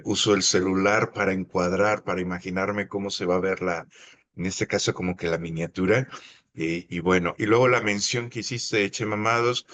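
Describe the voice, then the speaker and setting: A man moderating an online panel, effusive and full of fillers. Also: lowish voice. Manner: effusive, warm thanks, many fillers. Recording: faint background noise.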